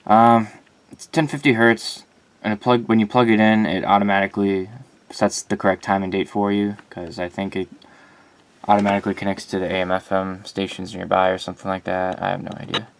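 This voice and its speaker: A man's voice: sits low, flat delivery, a little fast, creaky. A man casually and informally presenting his collection in a rambling, unscripted vlog.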